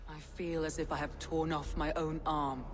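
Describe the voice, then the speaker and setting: An actress playing a stern, grieving Viking clan leader in a video game, solemn, formal and commanding. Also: female voice, low voice, little pitch movement, moderate pace, lightly acted. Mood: grim, hurt. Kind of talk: dramatic game cutscene acting.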